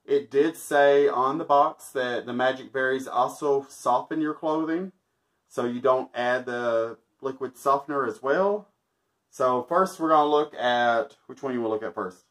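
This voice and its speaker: A man's voice: medium pitch, unhurried, a bit bright. Joking man in his thirties. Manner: joking, chuckles often. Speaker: vlogger testing a product. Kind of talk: casual product review chat. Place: small indoor room, backdrop with stars.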